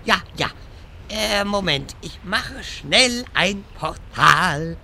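A man's voice: high voice, animated, very slow, vocal fry, long reverb tail, very noisy, heavily acted. A man playing a pompous, long-winded old wizard in a parody audio drama, with a grandiose, rambling delivery. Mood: smug, rambling.

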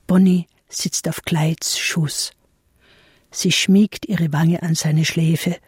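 An actress playing a cool narrator in a literary radio drama, with a husky, breathy voice and a measured, descriptive manner. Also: female voice, lowish voice, mellow, no room sound, studio quality, heavily acted. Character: cool female narrator describing pictures of outlaws.

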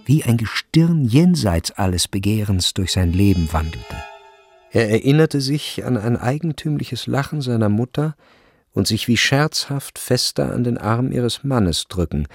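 A man narrating a literary radio drama in a measured, sober manner. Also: fairly low pitch, creaky, darkish tone.

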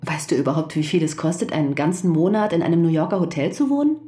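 A mellow, weary wife, roomy sound. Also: female voice, low-pitched, very animated, quick pace. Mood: defensive, affectionate. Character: prisoner's loyal but weary wife, firm, affectionate. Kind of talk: German radio crime drama acting.

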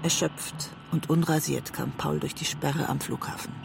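An actress playing a West German woman writer who narrates an obsessive love story in a measured, introspective way, as in a literary audio drama. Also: low-pitched, level tone, gravelly, noisy background. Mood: reflective.

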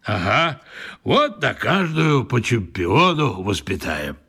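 Cocky boxing coach in radio play, gravelly, slangy, bouncy pitch. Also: male voice, big pitch swings, slow pace, sharp tone, full character voice. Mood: proud. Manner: boastful, emphatic, slangy. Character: brash boastful male boxing coach, simple-minded and keen. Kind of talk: radio drama acting.

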